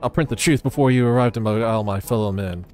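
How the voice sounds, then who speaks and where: A man acts out an excitable video game reporter obsessed with conspiracies. He declaims pompously and dramatically in a fairly low voice, with music playing underneath. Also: fast talker, lightly acted. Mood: accusing. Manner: bombastic, declamatory, stammering in alarm.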